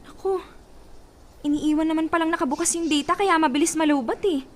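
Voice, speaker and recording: A woman's voice: high-pitched, a little fast, noisy background, close to an everyday voice. A woman with a Filipino accent acting a smitten, coy young woman who playfully scolds in a Tagalog radio romance drama. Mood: wondering. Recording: radio drama with clear background music.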